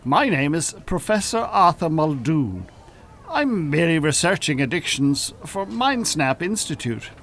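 A man's voice: on the high side, sing-song, a little slow, a bit bright, heavily acted. A man playing a pompous, evasive Irish hypnotist and con artist in an audio drama, speaking formally. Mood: flustered, indignant.

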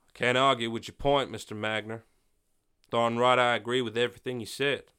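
Weary, even-tempered sheriff with a bright voice, read by a man. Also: medium pitch, clear, resonant tone, lightly acted. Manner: measured, apologetic. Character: weary middle-aged male Western sheriff.

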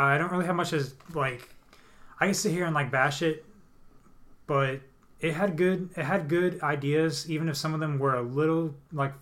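A young man co-hosts a casual podcast in a loose, easygoing way. He pauses, restarts and leans on fillers as he gathers his thoughts. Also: on the high side. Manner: relaxed, hesitant, lots of fillers. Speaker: podcast co-host. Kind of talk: casual chat.

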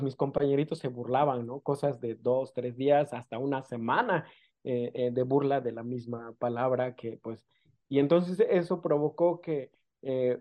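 A man from the coast of Oaxaca whose first language is Amuzgo speaks as a guest in a relaxed interview. He talks haltingly, with frequent fillers and little tag questions, at an even middle pitch. The sound is dull and lo-fi. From Mexico, Oaxaca coast. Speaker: guest, documentary filmmaker. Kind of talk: casual interview, personal storytelling.

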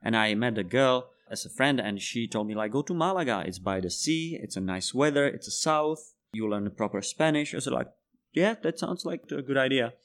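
A man in his thirties from the Czech Republic, a bartender guest in an interview, speaking English as a second language and telling animated stories with laughter. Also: mid-range voice, fairly quick. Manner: animated storyteller, laughs while telling anecdotes. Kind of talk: casual interview storytelling.

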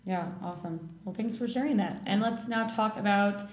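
A woman's voice: medium pitch, normal speed. Curious woman interviewer, echoey room. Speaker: interviewer, podcast host. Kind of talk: interview conversation.

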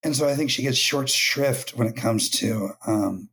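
Hoarse male writer guest, husky and strained, thoughtful pauses and many ums. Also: mid-range voice, fairly bright tone. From California. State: hoarse, lost voice. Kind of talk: casual podcast interview.

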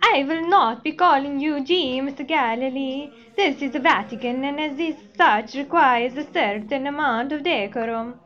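A woman's voice: high voice, normal speed, few pauses, fairly bright tone. A woman playing a stern, pompous male Pope in a comedy sketch, speaking formally. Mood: haughty. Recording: dull, lo-fi audio.

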